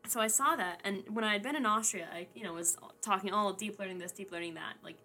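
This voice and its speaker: Bright-voiced animated young woman. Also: medium pitch, soft background music. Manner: animated, laughs often, quick asides. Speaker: podcast co-host. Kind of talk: casual chat.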